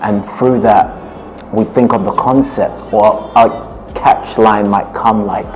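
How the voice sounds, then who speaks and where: Low-voiced man explaining his music. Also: fairly even intonation, a little mellow, slight background noise, low-quality recording. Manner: measured, explanatory, slows down for emphasis. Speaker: musician, one half of a duo. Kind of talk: relaxed interview talk.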